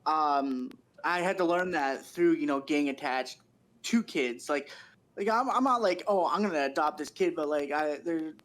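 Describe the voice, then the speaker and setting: A man talking casually on a podcast, explaining things in a measured, informal way with frequent "you knows.". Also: a little high, a bit bright. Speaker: podcast participant.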